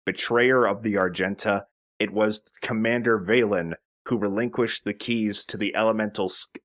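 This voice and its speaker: A man's voice: lowish voice, steady tone, normal speed, fairly bright tone. A man giving casual, chatty commentary as he explains a video game. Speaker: let's play host.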